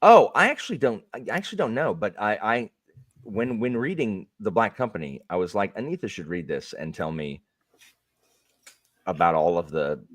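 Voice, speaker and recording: A man hosting a livestream, chatting casually in a lively, rambling way. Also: on the low side, normal speed, no room sound, clean studio sound. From Canada. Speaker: streamer host.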